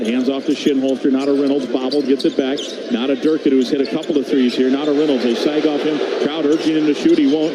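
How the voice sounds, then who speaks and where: An American man calling live basketball play-by-play on radio in short, clipped phrases, describing the action as it happens. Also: medium pitch. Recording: very noisy arena background. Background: arena crowd and game ambience.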